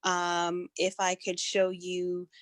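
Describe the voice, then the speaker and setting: A woman's voice: lowish voice, completely flat delivery, takes it slowly, dry recording. A woman from Rhode Island giving an upbeat, effusive self-introduction at an online meeting, repeating words for emphasis. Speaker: task force member.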